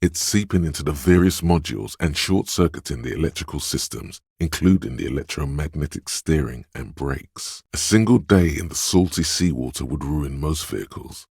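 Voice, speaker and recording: A man playing the grave, wry inner-voice narrator of a detective game, speaking in a measured, deliberate, literary way with dramatic pauses. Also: lively intonation, darkish tone, dry recording, studio quality, heavily acted. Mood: measured, matter-of-fact. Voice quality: very deep, gravelly, husky. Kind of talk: scripted game narration, second person.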